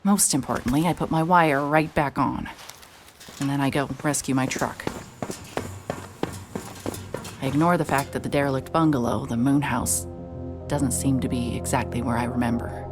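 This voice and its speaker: A woman playing a hard-hearted, stubborn private investigator in an audio drama, narrating in a wry, terse, deadpan way. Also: rumbling low, a little fast, over music. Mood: wry, determined. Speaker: narrator and lead actor.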